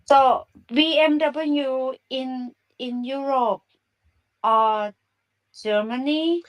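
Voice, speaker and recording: A woman's voice: high-pitched, very slow, low-quality recording. A woman from Thailand with a Thai accent, hosting a casual live audio chat in chatty, broken English. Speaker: live stream host. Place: Bay Area.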